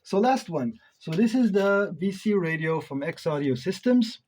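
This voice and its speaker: A man's voice: high-pitched, expressive, normal speed, darkish tone. A man giving a casual hands-on gear review, thinking aloud in an informal way with emphatic stresses. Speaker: reviewer of modular synth gear.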